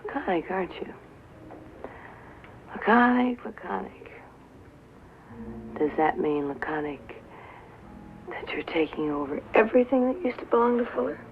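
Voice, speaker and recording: An actress playing a tough, bitter young nightclub singer who drinks, with an American accent and a sardonic, drawling delivery, in a 1950s film drama. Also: female voice, medium pitch, animated, normal speed, long pauses, natural voice. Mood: needling, sardonic. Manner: sardonic, drawling, dwelling on and repeating words. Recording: tinny, hissy 1950s film soundtrack.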